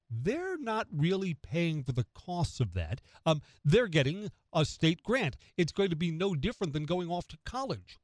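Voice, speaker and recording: Animated American radio commentator, middle-aged man. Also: high-pitched, no echo. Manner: conversational and emphatic, with rhetorical questions and pointed pauses. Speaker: radio morning news host and commentator. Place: close, dry radio studio.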